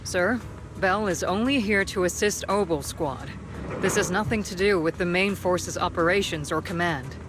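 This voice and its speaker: A woman voicing a calm, authoritative female colonel in a video game, speaking in a measured, formal way. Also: lowish voice, noisy background, natural voice. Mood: firm, pointed. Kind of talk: scripted video game voice acting.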